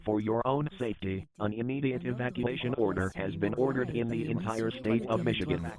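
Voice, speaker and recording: A man's voice: medium pitch, brisk, a crowd in the background, natural voice. A man playing a formal emergency broadcast announcer, reading a scripted alert. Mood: grave, formal.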